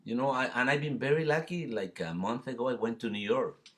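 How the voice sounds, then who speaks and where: A man from El Salvador with a Salvadoran Spanish accent, chatting casually in a halting, repetitive, rambling way. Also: medium pitch, lively intonation, fairly bright tone. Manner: halting, repetitive, peppered with "you know". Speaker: guest singer-songwriter. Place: live video call.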